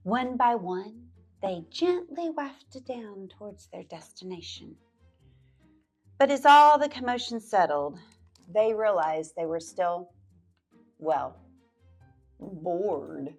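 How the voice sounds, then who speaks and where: A woman's voice: mid-range voice, animated, slow, pauses often, darkish tone, soft background music. A woman library storyteller reading a picture book aloud to children, stretching words out and dropping into playful whispered asides.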